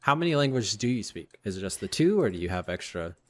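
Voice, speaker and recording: A man hosting a casual interview, asking questions in a relaxed, curious way and giving short acknowledgements. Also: on the low side, quick pace. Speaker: podcast host.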